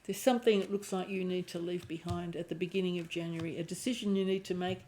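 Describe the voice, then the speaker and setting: A woman with a croaky voice and a lingering cough giving an intuitive tarot reading, thinking aloud and often restarting her phrases. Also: lowish voice, steady tone, fast talker, dark tone, a little hiss or hum. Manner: musing aloud, restarting phrases, clearing throat. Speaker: tarot reader.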